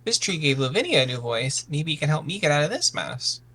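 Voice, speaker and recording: A man's voice: on the high side, big pitch swings, brisk, bright, forceful tone, breathy edge, full character voice. A man playing a sensitive, anxious misfit son of warriors, hesitant and earnest, often stammering.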